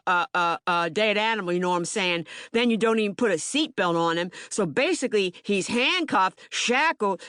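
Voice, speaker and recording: A woman's voice: mid-range voice, a bit bright, no room sound, clean studio sound, natural voice. A woman performing a theater monologue as an agitated, streetwise young man, speaking emphatically and colloquially with stammered repetitions. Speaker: actor in documentary theater. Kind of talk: dramatic monologue, audiobook theater.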